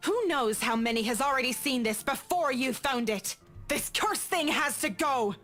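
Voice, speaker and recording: Actress as a firm woman, lively intonation. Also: high voice, normal speed, soft background music. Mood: insistent.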